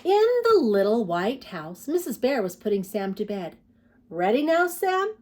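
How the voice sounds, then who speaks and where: A woman reading picture books aloud to preschoolers as a storytime host, expressive and putting questions to young listeners. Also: medium pitch, normal speed. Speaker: children's storytime host and reader. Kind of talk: read-aloud picture book narration for preschoolers.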